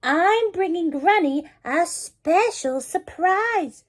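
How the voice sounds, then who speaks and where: A sweet, cheerful little girl from a storybook, high-voiced and eager, as a woman reading aloud puts her on. She speaks slowly and brightly. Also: animated, full character voice.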